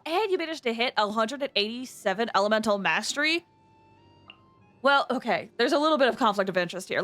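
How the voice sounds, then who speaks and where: A woman with a fairly high voice hosts a livestream. She chats casually with her viewers. Her delivery is expressive and emphatic, like someone happy to talk at length. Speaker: livestream host.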